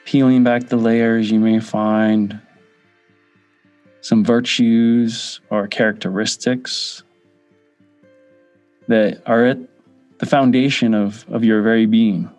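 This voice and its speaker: A man guiding a meditation, speaking in short measured phrases with pauses between them. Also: on the low side, level tone, darkish tone, no room sound. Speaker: meditation guide. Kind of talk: guided meditation. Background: background music.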